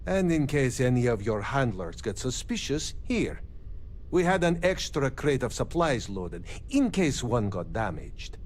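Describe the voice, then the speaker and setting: A calm starship captain in a game, formal wording, real up and down intonation. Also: male voice, mid-range voice. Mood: measured, firm. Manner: measured, formal phrasing without contractions. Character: level-headed spaceship captain brokering a secret deal. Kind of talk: video game dialogue acting.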